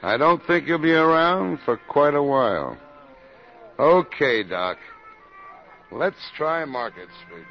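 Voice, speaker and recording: An actor playing a brusque, impatient police captain in a 1950s radio drama, with clipped, demanding speech. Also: male voice, fairly high pitch, animated, slow pace, gravelly, long pauses, faint music behind, full character voice. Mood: weary. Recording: dull old radio broadcast, background hubbub.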